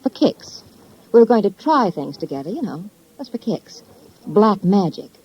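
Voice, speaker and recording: A woman's voice: mid-range voice, sing-song, normal speed, slightly rough. An actress playing a callous, bitter young woman suspect with an American accent, blunt and coolly mocking, in 1950s radio crime drama style. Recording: muffled vintage 1950s radio recording.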